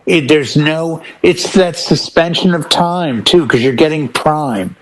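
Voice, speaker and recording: A man's voice: on the high side, unhurried. A man talking enthusiastically and emphatically in a casual panel chat as one of the show's panelists. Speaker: panelist on a DJ talk show.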